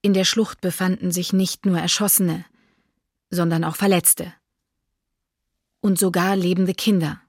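Female eyewitness, documentary radio drama. Sober, slightly low, dry close sound. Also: little pitch movement, unhurried, pauses often, no room sound. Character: woman eyewitness living near the massacre site, sober.

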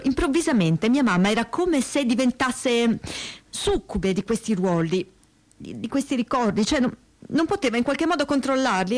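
A woman acting a troubled, reflective daughter of a Holocaust survivor in a staged testimony reading, with searching, halting phrasing. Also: medium pitch, a little mellow, close to an everyday voice. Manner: hesitant, searching phrasing with pauses and repetitions. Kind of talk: dramatic reading of spoken testimony.

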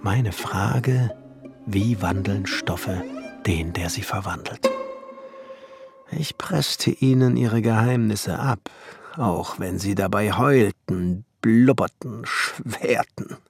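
Johann Kunkel, slow gravelly brooding alchemist. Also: male voice, fairly low pitch, soft background music. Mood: proud, bitter. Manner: solemn, halting, often sinking to a whisper. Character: Johann Kunkel, proud brooding 17th-century alchemist and glassmaker. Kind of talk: poetic radio play monologue.

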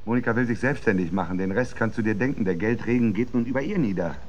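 Cynical stepfather on the make, played by a male actor: deepish, biting, radio drama, faint noise behind. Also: fast talker, natural voice. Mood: tense, determined. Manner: sardonic, cutting. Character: cynical, scheming stepfather and failing businessman. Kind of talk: German radio drama acting.

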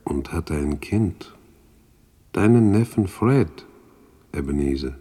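A man voicing a grave, knowing spirit guide with a measured, solemn delivery in a 1960s German radio drama. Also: sits low, expressive, mellow, slightly rough, full character voice. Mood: solemn, guiding.

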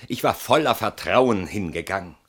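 An actor in a German radio play, playing a guilt-ridden, self-justifying man who is agitated and evasive, halting and repeating himself between mutters and outbursts. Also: fairly low pitch, animated, fairly bright tone, breathy edge.

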